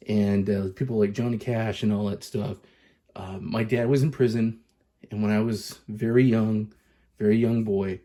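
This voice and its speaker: A man in his thirties from Southern California, chatting excitedly and casually as he reviews coffee, with plenty of fillers and restarts. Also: lowish voice, husky. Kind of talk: casual vlog chat.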